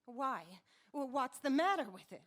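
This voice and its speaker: Woman playing a high-voiced, haunted young wife. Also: sing-song, normal speed, a bit bright. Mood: puzzled. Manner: hesitant, breaking up her phrases dramatically. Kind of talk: 1940s radio drama acting.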